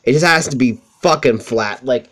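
A young man with a high-pitched voice, swearing freely as he tells rambling stories over gameplay. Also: normal speed, fairly bright tone. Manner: profane, rambling, self-deprecating. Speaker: let's play commentator. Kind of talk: casual gameplay commentary with storytelling.

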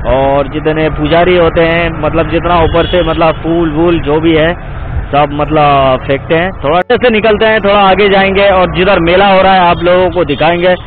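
A man's voice: high voice, slight background noise. Chatty young man vlogging from a motorbike. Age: young adult. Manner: chatty, keeps saying "guys", pleads for support. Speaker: motovlogger. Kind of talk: casual ride commentary. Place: outdoors riding a motorcycle on country roads. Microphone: action camera on the bike. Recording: tinny phone-like sound with music behind.

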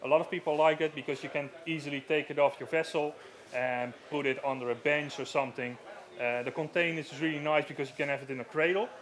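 A man's voice: fairly high pitch, fairly even intonation, fast talker, fairly bright tone. A man in his thirties with a Dutch accent, giving a live stage demonstration in a marquee on a headset mic, explaining plainly with many fillers. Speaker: safety equipment rep. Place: large marquee at outdoor show.

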